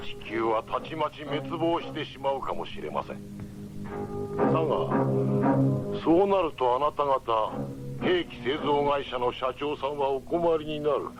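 An actor playing a sinister skull-faced villain mastermind in a 1970s radio drama, smooth and scheming. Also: male voice, mid-range voice, lively intonation, a little slow, over music, close to an everyday voice. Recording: dull lo-fi 1970s radio recording.

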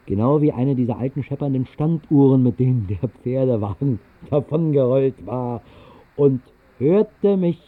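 An actor playing a tipsy, whimsical night wanderer in a 1960s radio drama monologue, speaking in a halting, theatrical, mock-pompous way. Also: male voice, mid-range voice, lively intonation. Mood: excited, whimsical. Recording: muffled narrow-band 1960s radio recording.

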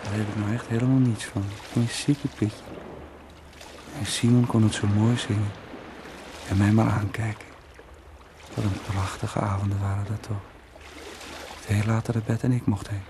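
An actor plays a brooding man in a radio drama monologue. His voice is fairly low and full of creak, musing in short, ironic fragments. Clear noise sits behind him on the recording. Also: flat delivery, moderate pace, long pauses, close to an everyday voice. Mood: wry reminiscing. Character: brooding, wry man reminiscing to himself. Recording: noisy radio play recording.

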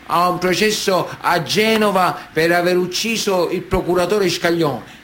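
An actor playing an indignant, self-pitying Sicilian mafia defendant testifying in a radio play, protesting plaintively and emphatically with a Sicilian accent. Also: male voice, sits high, fairly bright tone. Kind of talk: dramatic radio theatre monologue. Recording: noticeable background noise.

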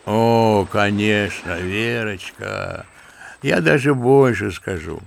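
Old sentimental general for an audio drama, gravelly, fatherly and passionate. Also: on the low side, slow, a little hiss or hum. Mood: musing fervent. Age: older. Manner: fervent, musing, fond and paternal. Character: old kindly sentimental general, grandfather figure. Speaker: actor in audio play. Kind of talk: theatrical radio play acting.

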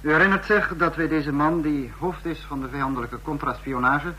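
A commanding dictator in an old radio drama, clipped and formal. The voice is bright and rather high, on a noisy, humming recording. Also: male voice, a little fast. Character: brisk, commanding dictator of a fascist state. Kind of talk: mid-century radio play acting.

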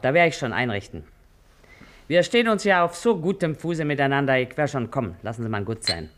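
An actress playing a shrewd, brisk working-class washerwoman with an earthy Berlin dialect in a German radio play. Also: extremely low pitch, sing-song. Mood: confiding, friendly. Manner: folksy, earthy dialect talk.

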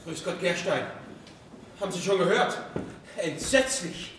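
An actor playing a cynical, mocking Nazi doctor in a theatrical stage manner. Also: male voice, sits high, animated, unhurried. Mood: agitated. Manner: mocking, theatrical, breaking into laughter. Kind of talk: stage drama acting. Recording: echoey hall, distant, faint noise.

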